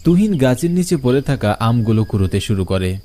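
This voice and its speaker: A man's voice: mid-range voice, big pitch swings, a little fast, dark tone. A man narrating a scripted horror story.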